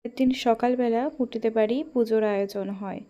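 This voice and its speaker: A woman's voice: fairly high pitch, fairly even intonation, fast, mellow. A woman storyteller reading scripted narration for a children's story.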